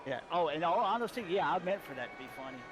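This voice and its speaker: A tired man co-commentating sumo casually, with dry, deadpan humor. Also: on the high side, fast.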